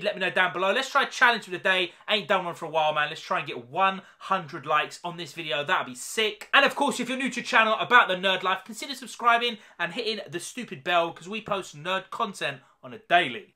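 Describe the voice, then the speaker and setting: A man in his thirties with a British accent, hosting a casual, slangy reaction video to a movie trailer from his home room. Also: high voice. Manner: excitable, slangy, addresses viewers directly. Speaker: YouTube reaction host. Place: small home room full of collectibles.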